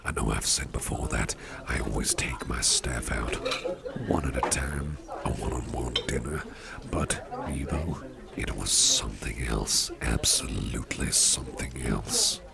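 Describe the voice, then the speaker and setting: A man playing an attentive, courteous businessman boss in a soft ASMR roleplay, speaking in a breathy near-whisper with a gentle, reassuring manner. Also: extremely low pitch, sing-song, a little slow, over a noisy crowd, heavily acted. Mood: tender, appreciative.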